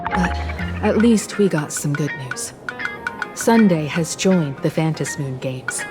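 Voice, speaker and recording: A woman voicing a composed, wistful young woman in a game story, talking in a measured, reflective way. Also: low-pitched, expressive, over music. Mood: serious, resolved. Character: composed, wistful young woman, former scrappy martial artist student. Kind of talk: scripted game story dialogue.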